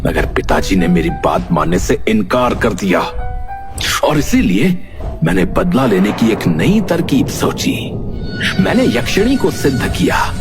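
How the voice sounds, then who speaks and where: An actor playing a vengeful, scheming elder half-brother, boastful and menacing, in a horror cartoon. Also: male voice, fairly low pitch. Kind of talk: Hindi horror cartoon acting. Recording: loud music behind the voice.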